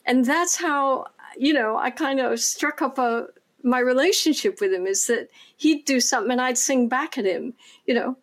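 Enthusiastic woman, halting and chatty. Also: high voice. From United States. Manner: warm, enthusiastic, halting with fillers. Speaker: singer and performer. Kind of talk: casual interview.

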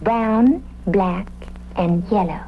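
A woman's voice: medium pitch, extremely slow. Dreamy, flighty eccentric woman. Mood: airy, literal. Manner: dreamy, airy, calls everyone "love". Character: serene, flighty, eccentric flower-loving woman witness. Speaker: actress in a radio police drama. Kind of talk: old-time radio character acting. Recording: muffled, hissy old radio recording.

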